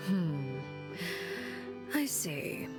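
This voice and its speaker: An actress voicing a cool, aristocratic female villain in a video game, measured and formal with veiled menace. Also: sits low, big pitch swings, heavily acted. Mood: quietly menacing. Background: game music.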